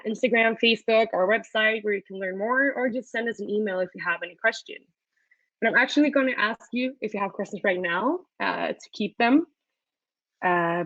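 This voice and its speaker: A woman's voice: a little high, fairly bright tone, no echo, dull audio. A woman hosting a webinar, presenting a student startup hub in an upbeat way with frequent fillers, heard over a video call. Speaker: webinar host.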